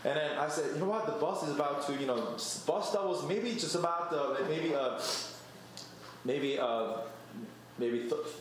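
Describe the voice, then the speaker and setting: A man's voice: medium pitch, a bit bright, a little hiss or hum. A young man giving a chatty sermon as preacher, telling stories and laughing at himself. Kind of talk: conversational sermon. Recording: echoey church room.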